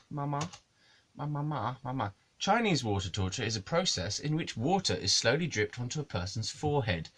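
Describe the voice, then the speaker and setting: A man talking straight to camera in a loose, digressive comic ramble. He jokes, swears and chuckles at his own asides, and his voice sits at an ordinary middle pitch for a man. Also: a bit bright, a little hiss or hum. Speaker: musician and video maker.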